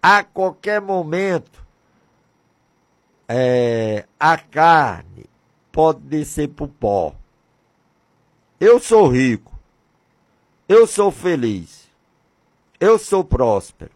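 Slow, fervent older Brazilian radio preacher. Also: male voice, fairly high pitch, very animated. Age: 60s. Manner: fervent, repetitive rhetorical questions. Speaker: radio presenter. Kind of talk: religious radio talk.

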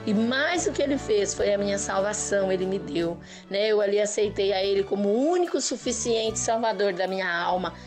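A middle-aged Brazilian woman giving a devout, earnest personal testimony of faith to listeners. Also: mid-range voice. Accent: Brazilian Portuguese. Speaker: church member giving a testimony. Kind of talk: personal religious testimony addressed to viewers. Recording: music bed under the voice.

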